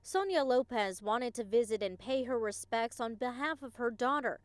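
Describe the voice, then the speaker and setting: A woman's voice: on the high side, expressive, normal speed, no echo. A woman working as a field reporter, delivering broadcast news reports in a scripted, on-location style. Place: outdoors at a memorial for live reports.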